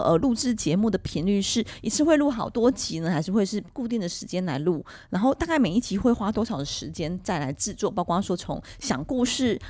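A woman's voice: medium pitch, darkish tone, no room sound. A woman with a Taiwanese Mandarin accent hosts a podcast interview in a chatty, wordy conversational style. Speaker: podcast host.

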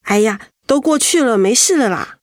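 An actress in a Mandarin radio drama playing a vulnerable young wife, earnest, emotional and confiding. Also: female voice, on the high side, animated, normal speed, no echo, clean studio sound, natural voice. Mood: tender, reassuring. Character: vulnerable young wife holding back tears after illness.

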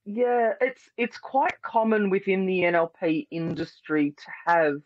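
A man's voice: high voice, a little slow, lo-fi sound. A man, a hypnosis and NLP practitioner, giving earnest, flowing answers as an interview guest. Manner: earnest, repeats "beautiful" and "powerfully", flowing explanations.

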